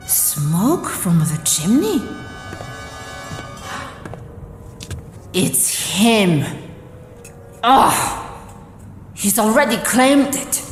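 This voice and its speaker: Slow, echoing weary war survivor woman. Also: a little high, exaggerated voice. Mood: astonished. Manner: talks to herself, halting and dramatic. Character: wary, weary lone woman surviving a ruined war zone. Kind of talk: dramatic radio play acting, soliloquy. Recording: echoey reverberant hall, music behind.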